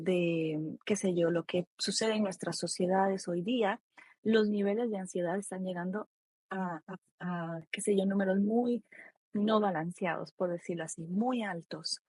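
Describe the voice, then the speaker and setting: A Costa Rican woman speaks with a husky voice, close and dry in the recording. She is an expert guest, explaining things step by step in a reflective, conversational way. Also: mid-range voice, darkish tone, dry recording. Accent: Costa Rican Spanish. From Costa Rica. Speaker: expert guest, psychologist and author.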